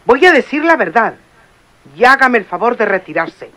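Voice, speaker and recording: An actress playing a cold, formal head nurse in a vintage radio drama. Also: female voice, fairly high pitch, sing-song, moderate pace, noisy background. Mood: stern. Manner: stern, formal.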